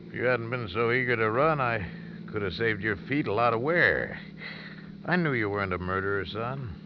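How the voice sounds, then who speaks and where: A steady older lawman with a low voice and folksy phrasing, played by a male actor. It is 1950s radio acting on a dull recording with clear background noise. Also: normal speed, natural voice. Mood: calm, knowing. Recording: muffled, noisy vintage radio recording.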